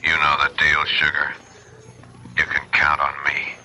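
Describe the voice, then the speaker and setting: An actor playing a slick, cool accomplice and secret lover in a radio drama, clipped and familiar. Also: male voice, rumbling low, monotone, measured pace, bright, forceful tone, full character voice. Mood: cool, conspiratorial. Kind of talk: 1970s radio drama acting. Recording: faintly noisy old radio recording.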